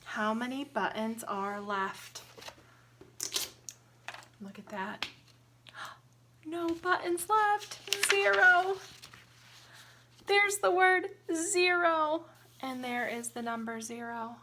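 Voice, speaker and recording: A woman teacher reading a children's picture book aloud in an expressive, sing-song way, pausing to ask young listeners simple questions. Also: sits high, noisy background. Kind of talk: interactive children's storybook read-aloud with counting and singing.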